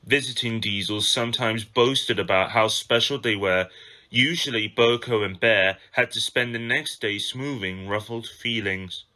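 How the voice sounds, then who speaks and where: A man's voice: on the low side, measured pace, sharp tone. A man narrating a children's story from a script as an amateur reader.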